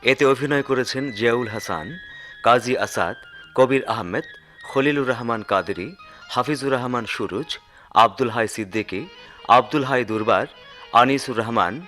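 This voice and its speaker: A man's voice: medium pitch, normal speed, pushed and bright. A man reading closing credits formally as a radio announcer. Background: music behind the voice.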